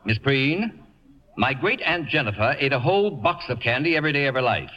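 An actor playing an imperious, insulting celebrity with a pompous, declamatory manner in a vintage radio comedy. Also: male voice, mid-range voice, big pitch swings, a little fast, bright, forceful tone. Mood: scornful, indignant. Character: imperious acid-tongued celebrity man, pompous and theatrically insulting. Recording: muffled vintage 1950 radio broadcast.

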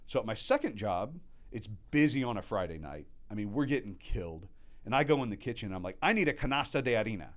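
Animated man telling restaurant stories. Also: fairly low pitch, quick pace. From born in Mexico, raised by American parents. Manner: animated storyteller acting out quotes. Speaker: guest, restaurant manager. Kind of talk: casual storytelling chat.

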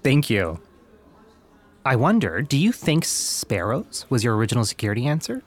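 Young customer service rep, male, polite, patient, careful, lively intonation, naturalistic comedy drama. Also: mid-range voice, moderate pace. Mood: courteous, helpful.

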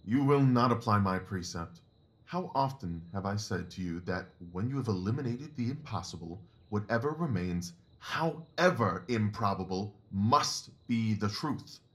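Guy doing a victorian detective for a radio style drama, lowish voice, precise and commanding, explains everything step by step. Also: expressive, lightly acted. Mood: impatient, didactic. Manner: deliberate, didactic, precise. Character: keen, commanding male Victorian detective, methodical and self-assured. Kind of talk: audio drama acting.

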